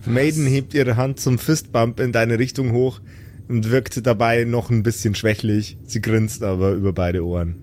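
A man narrating an improvised tabletop audio drama as game master, with deliberate, dramatic phrasing. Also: medium pitch, steady tone, darkish tone, soft background music.

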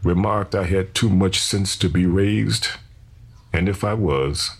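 A man's voice: deep voice, slow, exaggerated voice. A man playing a solemn enslaved preacher in his thirties, convinced of his divine calling, giving grave, formal testimony in a historical dramatization. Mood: solemn, resolute. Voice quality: gravelly, husky.

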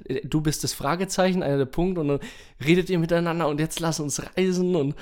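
A man's voice: a little high, steady tone, quick pace. A young adult man co-hosting a podcast, chatting in a slangy, enthusiastic and informal way. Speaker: podcast co-host. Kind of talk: casual, slangy chat.

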